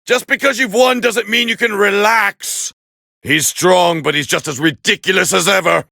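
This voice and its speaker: A man voicing a proud, scornful alien warrior as a fighting game commentator, gravelly and haughty in an English anime dub style. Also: high-pitched, steady tone, normal speed, no room sound, studio quality, exaggerated voice. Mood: shocked, indignant. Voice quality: gravelly, raspy. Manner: haughty, forceful, clipped exclamations.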